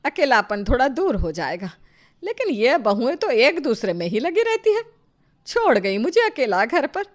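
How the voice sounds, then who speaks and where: A woman's voice: a little high, very animated, normal speed, voice pushed up. Woman playing a bossy, scheming mother-in-law. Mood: bitter grumbling. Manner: scolding, grumbling, forceful delivery. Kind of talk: animated moral story character voice.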